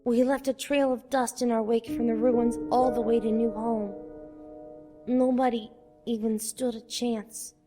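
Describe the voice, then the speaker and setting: A woman playing a haunted young child in a fan comic dub, solemn and deliberate. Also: a little high, little pitch movement, over music, close to an everyday voice. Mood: grim, confessing. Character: haunted young child confessing past killings.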